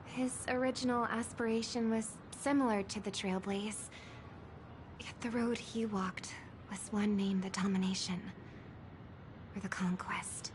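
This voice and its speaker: An actress playing an earnest, resolute young woman, a devoted sister, in gentle, measured game dialogue. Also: fairly high pitch. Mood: solemn, reflective. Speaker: game character voice actor. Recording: music behind the voice.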